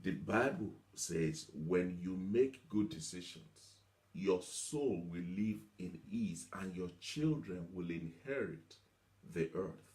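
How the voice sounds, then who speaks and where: A man's voice: deep voice, expressive, slow, darkish tone. A man preaching a motivational teaching sermon, emphatic, repeating himself and asking rhetorical questions. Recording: echoey room.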